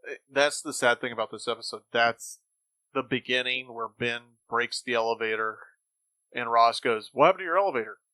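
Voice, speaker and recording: A man with a bright, edged voice talks as a podcast co-host. He gives opinions in an informal, conversational way at a middling pitch. The recording is tight and dry. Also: brisk, dry recording, poor audio. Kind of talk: casual critical discussion of old radio shows.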